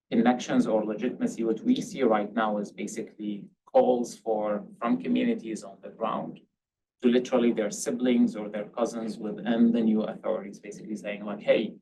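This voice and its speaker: Gravelly low-voiced man on a policy panel. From Syria. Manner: hedging, restarts often with um and I mean. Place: panel event hall. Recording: distant mic, faint background noise.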